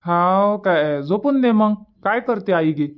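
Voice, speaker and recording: A man's voice: sits high, lively intonation, measured pace, dull audio. A man voicing an easygoing young village son in a cartoon comedy, chatty and colloquial, with a rural Vidarbha Marathi accent. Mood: casual, reassuring.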